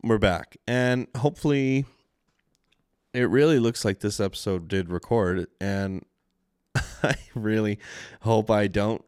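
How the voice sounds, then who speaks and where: A man's voice: fairly low pitch, slow, pauses often, a little mellow, smooth, no echo, clean studio sound. A man with a Canadian accent hosting his own solo podcast, rambling and self-deprecating in casual chat, with frequent swearing. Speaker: podcast host. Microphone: studio mic with mixer.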